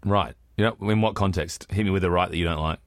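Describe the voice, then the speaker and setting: A man's voice: low-pitched, fairly even intonation, fast, no room sound. A man with an Australian accent hosting a podcast in casual banter, sarcastic and blunt. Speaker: podcast host.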